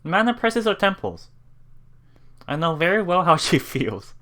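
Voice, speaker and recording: A woman's voice: lowish voice, big pitch swings, measured pace, a bit bright. A woman hosting a let's play, reading visual novel text aloud and reacting with giggly asides. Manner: giggly, reactive asides between read lines.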